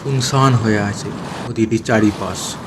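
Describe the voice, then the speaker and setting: A man telling a scripted horror story as narrator, in a measured, dramatic manner. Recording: clear noisy ambient rumble behind narration.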